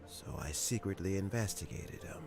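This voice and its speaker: Gravelly, dignified old official. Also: male voice, deep voice, animated, a little mellow, soft background music. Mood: reflective. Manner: measured, formal, reflective. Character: dignified old male official and fishing enthusiast, reflective. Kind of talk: scripted video game dialogue.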